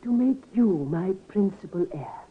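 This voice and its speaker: An actress playing a frail, earnest middle-aged daughter in an old-time radio drama, gentle but insistent. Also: female voice, mid-range voice, animated, unhurried, husky. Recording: noisy, narrow-band vintage radio recording.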